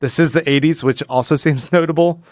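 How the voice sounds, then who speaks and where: A man co-hosting a podcast, casually discussing comics in a halting way, repeating words as he goes. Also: on the high side, steady tone, a little mellow, no room sound, clean studio sound. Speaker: podcast co-host.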